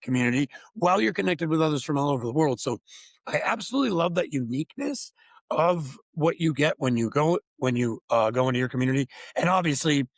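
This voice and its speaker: A man hosts with gushing enthusiasm and rambles as he goes. His voice sits a bit high, and the sound is dry and close, like a remote call. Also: animated, fast, no echo. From Upper Peninsula of Michigan, USA. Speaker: podcast host. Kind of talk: casual interview chat.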